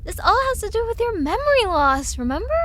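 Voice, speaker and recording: A woman's voice: very high voice, big pitch swings, a little fast, fairly bright tone, full character voice. A woman acting a skeptical, insistent young twin sister in an audio drama, speaking conversationally over some background ambience. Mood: puzzled.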